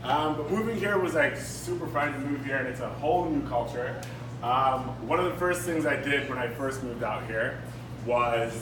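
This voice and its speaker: A man's voice: mid-range voice, lively intonation, moderate pace. A man from South Africa, born in Congo, performing casual, conversational stand-up comedy to a live room. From born in Congo, raised in South Africa. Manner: casual, with self-deprecating joking and swearing. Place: live comedy event before an audience. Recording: echoey live room, noisy, distant mic.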